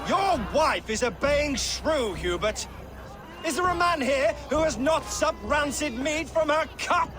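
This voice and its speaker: An actor playing a fanatical, pompous reeve who preaches executions to a crowd in contemptuous oratory, in a video game. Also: male voice, very high-pitched, animated, a little slow, over music. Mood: mocking scorn. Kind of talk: dramatic video game acting.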